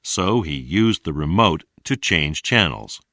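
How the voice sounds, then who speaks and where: Deep-voiced man narrating slowly. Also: animated, sharp tone, dry recording. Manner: slow, deliberate enunciation. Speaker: narrator of an English lesson. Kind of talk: scripted narration, read-along language lesson.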